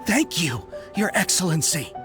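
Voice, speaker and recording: A man's voice: fairly high pitch, big pitch swings, a little slow, music in the background. A man voicing an earnest, deferential soldier in a video game, speaking formally and respectfully to a superior. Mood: contrite, dutiful. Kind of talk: scripted video game voice acting.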